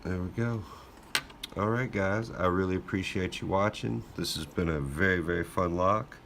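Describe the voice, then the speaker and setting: A man recovering from a cold, hosting his own channel and talking through his work in a relaxed, thinking-aloud way. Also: low voice, moderate pace, gravelly, a little hiss or hum. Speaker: lockpicking channel host. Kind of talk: casual hands-on narration.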